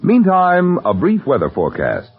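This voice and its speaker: A man's voice: sits high, wildly swooping pitch, moderate pace. A man announcing on 1940s radio, reading sponsor copy in a polished, emphatic sales style. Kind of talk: 1940s radio advertising read. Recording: muffled vintage radio, husky, faint music.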